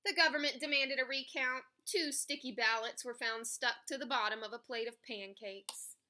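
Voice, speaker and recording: A woman reading a story aloud for children in an expressive, emphatic way. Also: high voice, moderate pace. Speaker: picture book reader.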